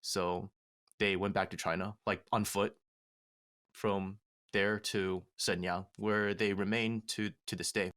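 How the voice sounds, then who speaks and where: A man in his thirties telling a family story in casual podcast talk, measured and halting with frequent fillers, recorded on a studio mic in a small treated room. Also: deep voice, little pitch movement, fairly bright tone, clear, resonant tone. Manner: measured, many "um" fillers and restarts. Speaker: podcast guest. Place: small home studio with acoustic foam panels. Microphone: studio mic with headphones.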